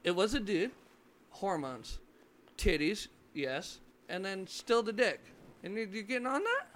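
A young man in casual crude chat, halting with "uh" and blunt with swearing. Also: high-pitched, very animated, a bit bright, a little hiss or hum. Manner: hesitant with "uh", blunt and profane.

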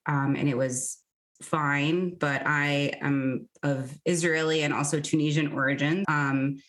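Woman interview guest, thirties, low voice, candid and reflective, easy conversational pace. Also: fairly even intonation, measured pace, a bit bright. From New Jersey, US. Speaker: podcast guest.

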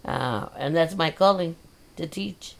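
A middle-aged woman chats casually in a gravelly voice. She speaks haltingly and restarts her sentences, with plenty of fillers. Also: sits low. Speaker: guest, mother of the host. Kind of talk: casual family chat.

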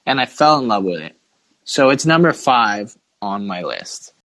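A man's voice: on the low side, sing-song, a little slow, fairly bright tone, no echo. A man hosting a podcast with casual sports banter and a playful, teasing manner. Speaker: podcast host.

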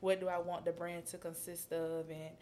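A woman in her early twenties, a designer guest, talking in a relaxed, reflective way with many "like" fillers. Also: on the low side, normal speed. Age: 20s. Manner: relaxed and reflective, lots of "like" fillers, trails off softly. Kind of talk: casual interview chat.

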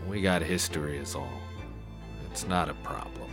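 A man's voice: rumbling low, normal speed. A man playing a cynical, wisecracking private detective in a 1930s noir audio drama, dry and laconic. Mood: dry, businesslike. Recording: music under the voice.

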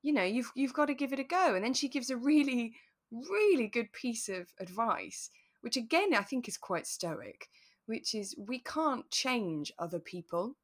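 A woman's voice: a little high, expressive, moderate pace. A British woman co-hosting a podcast, chatting casually and thoughtfully, explaining her points in an agreeable way. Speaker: podcast co-host. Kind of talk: casual chat discussing a radio drama.

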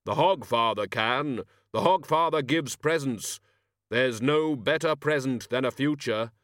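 Guy doing grim reaper type master, slow stern commanding, dry close storybook recording. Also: mid-range voice, fairly bright tone, no room sound, close to an everyday voice. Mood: insistent.

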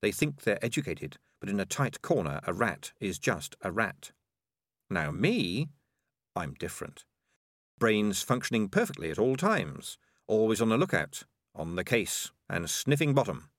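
A man's voice: on the low side, big pitch swings, measured pace, fairly bright tone, no echo, studio quality, heavily acted. A man voicing a smug, self-preserving male cat in a wry inner monologue from a storybook.

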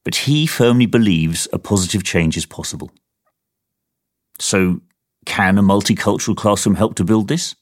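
Deep-voiced man narrating a documentary. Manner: measured, steady reading. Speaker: podcast narrator.

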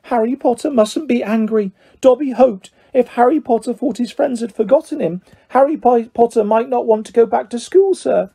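A tiny, anxious house-elf as performed by a male reader pleads in a very high, husky voice. He is servile and deferential, yet stubborn, with a soft mellow edge, straight out of a fantasy audiobook. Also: moderate pace, hardly any pauses, heavily acted. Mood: anxious pleading. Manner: speaks of himself in third person, deferential. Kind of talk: audiobook character voice.

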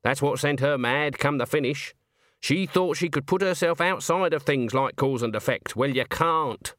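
A man voicing a stern, haughty old woman witch in an audiobook, clipped and deadpan. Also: a little high, normal speed, lightly acted. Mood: stern, irritated. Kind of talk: audiobook character acting.

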